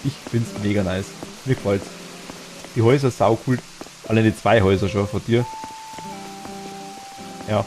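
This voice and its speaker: Lockerer typ mit bayrischem dialekt, quatscht begeistert beim zocken, lacht viel, tiefere stimme. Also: male voice, lowish voice, normal speed, long pauses, a little mellow, faint music behind, low-quality recording. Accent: Bavarian. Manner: chatty, easily laughing, enthusiastic. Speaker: streamer. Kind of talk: casual chat while streaming a game.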